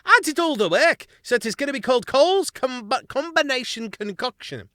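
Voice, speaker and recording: A man voicing a crew of cheeky young mouse sailors squabbling over a stew pot in a storybook, in high, rowdy voices. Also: lively intonation, moderate pace, bright, forceful tone, no room sound, studio quality, full character voice. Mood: arguing. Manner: squeaky, rowdy, working-class banter.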